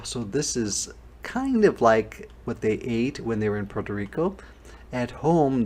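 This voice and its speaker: A man reading a children's storybook aloud as a teacher, pausing to explain things. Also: on the low side, a little mellow.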